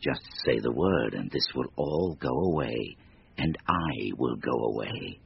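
A radio-drama villain acted by a man, with a bottomless, gravelly voice. He taunts and coaxes with deliberate, gloating menace, like a tempter who owns his victim. The recording is dull. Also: a bass voice, lively intonation, exaggerated voice. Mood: gloating, coaxing. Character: sinister gloating tempter, supernatural tormentor stalking a man.

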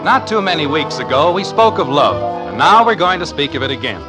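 A man's voice: a little high, big pitch swings, brisk. A man announcing and narrating a scripted vintage radio comedy in a polished, wry style. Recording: vintage radio broadcast with background music. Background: music.

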